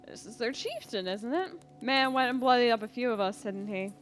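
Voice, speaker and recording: A woman voicing a cruel, gloating male slave trader in a fantasy audio drama, speaking in a mocking low drawl. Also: a little fast, faint music behind, natural voice.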